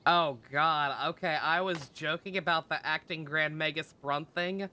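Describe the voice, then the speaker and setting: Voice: medium pitch, measured pace, pushed and bright, slight background noise. A game streamer chatting casually and wryly, joking and swearing freely. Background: game music.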